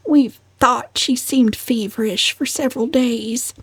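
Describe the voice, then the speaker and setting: A fretful older woman from a storybook, put on by a female reader, agitated and hushed. Her voice is lifted high and sounds agonized, recorded close and dry. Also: a little slow, breathy edge, no room sound. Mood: anxious.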